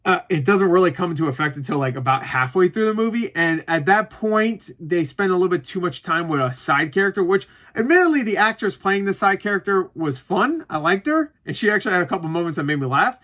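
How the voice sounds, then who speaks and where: A man with a bright, punchy voice pitched a little high talks casually as a reviewer. He rambles through his opinions without a script, doubles back to correct himself and swears freely. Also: expressive, a little fast, few pauses. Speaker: movie reviewer. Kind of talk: casual unscripted review chat to viewers.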